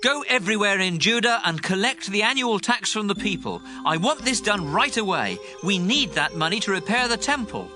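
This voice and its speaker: A man's voice: high-pitched, animated, moderate pace, few pauses, music in the background. A man playing a commanding, impatient young king in an audio Bible drama, speaking in an urgent, demanding way.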